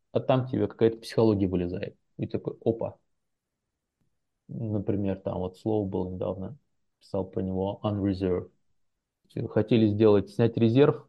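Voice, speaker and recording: Male translator guest, low and gravelly, loose anecdotal talk, muffled lo-fi audio. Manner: relaxed storytelling with many fillers and restarts. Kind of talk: casual interview chat.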